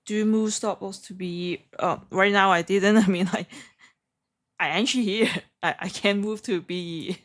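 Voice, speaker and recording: A woman speaking as a live stream trading host, chatting casually in a giggly, hesitant, halting way. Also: medium pitch, steady tone. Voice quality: non-native English.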